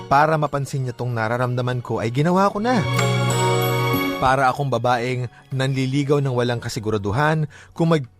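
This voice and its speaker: A man with a Filipino accent hosting a radio show in an expressive, casual style. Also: mid-range voice, normal speed, over music. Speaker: radio host. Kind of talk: casual radio chat and story reading.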